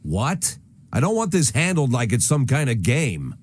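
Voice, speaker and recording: An actor playing a sardonic, aging American police chief with a gruff, dry delivery in a video game. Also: male voice, mid-range voice, huge pitch swings, moderate pace. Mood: irritated. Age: older. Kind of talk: naturalistic video game voice acting.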